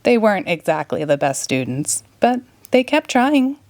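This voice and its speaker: Female storybook reader, clear expressive narration, dry close sound. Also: medium pitch, normal speed, no echo. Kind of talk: children's read-aloud narration.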